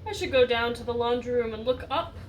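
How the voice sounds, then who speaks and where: A woman's voice: fairly high pitch, fairly quick, highs cut off, natural voice. A woman voicing a curious, resourceful male monkey handyman from a storybook, earnest in manner. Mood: thoughtful. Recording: distant mic, faint background noise.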